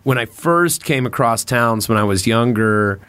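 A man with a mid-pitched voice hosts a relaxed, casual conversation. He feels his way through sentences, hesitating and rewording as he rambles. The sound is very dry, with hardly any room in it. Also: a little slow, clean tone, no echo. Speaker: podcast host. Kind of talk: casual chat.

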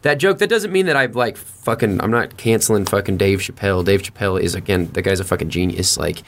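A man's voice: on the low side, quick pace, slight background noise. A man chatting casually on a podcast, swearing freely and rambling through his thoughts with hesitant phrasing.

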